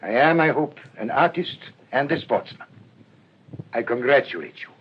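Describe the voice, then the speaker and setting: An actor playing a proud gentleman thief in a radio drama, blustering and dramatic. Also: medium pitch, expressive, normal speed, breathy edge. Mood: gracious in defeat. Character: proud, theatrical gentleman thief, menacing villain. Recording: dull lo-fi radio recording, faint noise.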